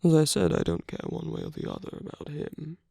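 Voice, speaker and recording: A man playing a suave, seductive villain with contempt for others in an ASMR romance roleplay, speaking intimately and teasingly in a breathy, husky voice that often nears a whisper. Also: fairly high pitch, big pitch swings, dark tone, no room sound, full character voice. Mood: seductive, persuasive. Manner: slow, deliberate, intimate, teasing.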